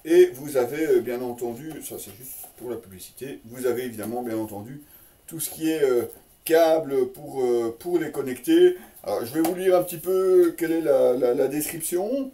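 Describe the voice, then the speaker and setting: Very dark-voiced man, chatty and halting. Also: mid-range voice, far from the mic. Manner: hesitant, halting delivery with repeated words. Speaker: host of a motorcycle gear unboxing channel. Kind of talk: casual unscripted product presentation.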